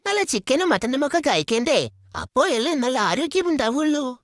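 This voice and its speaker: A woman's voice: high voice, sing-song, normal speed, dry recording, clean studio sound, pitched above the performer's own voice. A woman voicing a sly, lazy male fox who poses as a healer in a children's cartoon, scheming and bossy. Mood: bossy, persuading. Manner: scheming, bossy, mock-authoritative. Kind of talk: children's cartoon story character.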